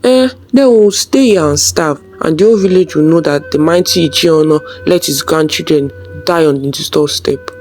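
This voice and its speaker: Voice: mid-range voice, moderate pace, few pauses, darkish tone, soft background music, close to an everyday voice. A narrator voicing a desperate orphaned young girl in a folktale, urgent and headstrong. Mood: defiant. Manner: pleading, urgent.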